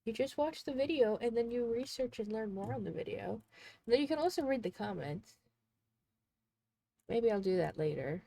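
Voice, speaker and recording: A woman's voice: a little high, expressive, moderate pace, creaky, mellow. A young woman in her twenties streaming from home, congested and sniffly, chatting in a rambling, casual way. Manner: rambling, chatty, thinks aloud. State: congested, sneezing. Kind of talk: casual livestream chat.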